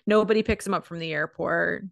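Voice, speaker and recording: A woman with a slightly low voice co-hosts a casual recap podcast. She tells things informally, like a story in conversation. The recording is very dry, with no audible room. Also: a little fast, gravelly, fairly bright tone, dry recording. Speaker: podcast co-host. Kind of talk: casual film recap chat.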